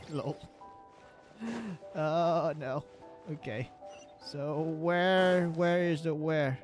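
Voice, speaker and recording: A man giving casual livestream game commentary in a halting, joking way, often repeating his words. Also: fairly high pitch, steady tone. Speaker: live streamer. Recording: game music behind the voice.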